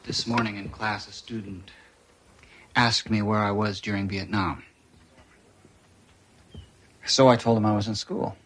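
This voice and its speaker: A middle-aged man playing a gentle, playful father in a naturalistic TV drama, tender and coaxing. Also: on the low side, level tone, a little slow, long pauses, a little hiss or hum, low-quality recording. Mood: wry, casual. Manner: tender, coaxing, often hushed. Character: gentle, playful father soothing his frightened child.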